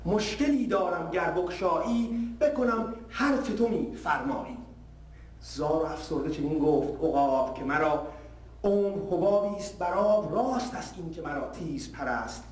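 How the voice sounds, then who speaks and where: A man reciting a narrative poem in a measured, declamatory way, verse by verse. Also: a little high. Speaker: poetry reciter. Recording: distant, echoey hall, faint noise.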